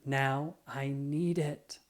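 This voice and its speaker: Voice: mid-range voice, steady tone, drawn-out words. A storybook narrator voicing an eager young boy who talks to his dog. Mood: hushed, determined.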